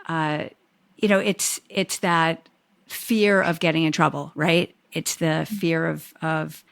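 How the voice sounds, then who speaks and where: Woman host, gravelly low voice, rambling, reflective, casual interview. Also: steady tone, takes it slowly. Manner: rambling, reflective, restarts phrases. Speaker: podcast host.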